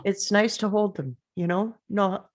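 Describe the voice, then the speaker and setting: A woman reading the part of a bereaved, nostalgic mother in a drama table read, halting and conversational but composed. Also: medium pitch, steady tone, close to an everyday voice. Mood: wistful.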